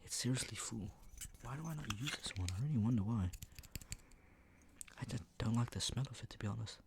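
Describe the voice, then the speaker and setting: A soft-spoken man rambling casually in an ASMR style, often dropping into a whisper. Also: medium pitch, fairly quick. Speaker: ASMR video host. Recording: clear background noise.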